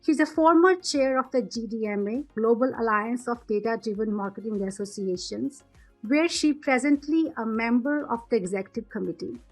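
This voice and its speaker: Higher-pitched woman hosting an interview. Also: normal speed, faint music behind, poor audio. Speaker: interview host. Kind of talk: hosted interview, introductions and questions.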